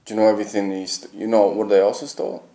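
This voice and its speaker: A man's voice: lowish voice, flat delivery, racing, mellow. A man in casual conversation, insistent and argumentative. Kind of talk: casual chat. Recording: echoey room.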